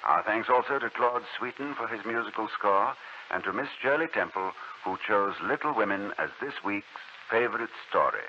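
A man's voice: lowish voice, lively intonation, normal speed. A man hosting as announcer in a formal, polished 1940s radio style. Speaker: announcer and host. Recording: muffled 1940s radio recording, hiss.

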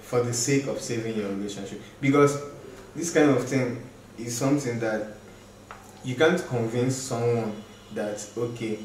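A young man, a guest explaining his view in a measured, thoughtful way. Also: medium pitch, a little hiss or hum. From Nigeria. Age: young adult. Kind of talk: casual group discussion. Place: living room, seated on a couch. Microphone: far camera. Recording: roomy echo from a distant mic.

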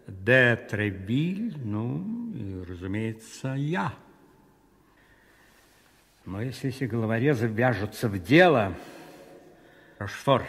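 A man playing an imperious, scheming French cardinal in a radio drama, commanding and deliberate. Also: mid-range voice, slightly rough, full character voice. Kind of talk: radio play acting. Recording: music behind the voice.

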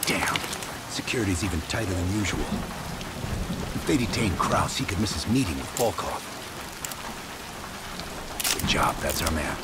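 An actor playing a gruff, seasoned intelligence operative in a video game, terse, controlled and commanding. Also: male voice, low voice, lively intonation, normal speed, pauses often, very noisy. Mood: watchful. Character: gruff, seasoned male American intelligence operative leading a mission. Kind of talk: video game cinematic acting.

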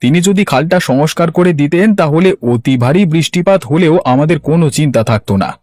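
A man's voice: fairly high pitch, fairly quick, a little mellow, no room sound, clean studio sound, natural voice. A man voicing an earnest, worried village farmer in a cartoon, complaining plainly. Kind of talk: Bengali cartoon dialogue.

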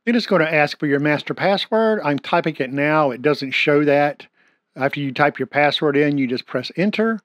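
A man's voice: a little high, expressive, normal speed, fairly bright tone, dry recording, dull audio. A middle-aged man with a Southern US accent, a computer repair technician explaining a tech tutorial step by step, with a few fillers. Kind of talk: screen-recorded tech tutorial.

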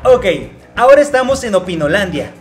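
A young man talking in a fairly high voice, joking and sarcastic as he chats to his viewers. Faint music plays under his voice in a small bedroom. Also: brisk. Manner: sarcastic, playful, animated. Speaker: YouTuber. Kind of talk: casual vlog narration. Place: small bedroom with gaming chair.

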